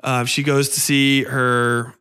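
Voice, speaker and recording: A man's voice: medium pitch, flat delivery, slow, sharp tone, clear, resonant tone. American man, casual sweary podcast talk. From United States. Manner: rambling, emphatic, acts out quoted dialogue. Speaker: podcast co-host reviewing films. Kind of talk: casual, profane film discussion and retelling.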